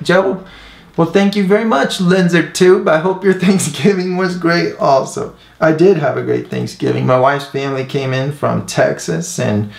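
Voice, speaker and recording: A man in his thirties talking casually to camera as an instructor, earnest and conversational, pausing to find his words. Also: mid-range voice, vocal fry. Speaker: host, mural painter and instructor. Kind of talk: casual talk to camera, answering viewer comments. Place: indoors, room with painted mural wall. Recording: echoey, roomy sound.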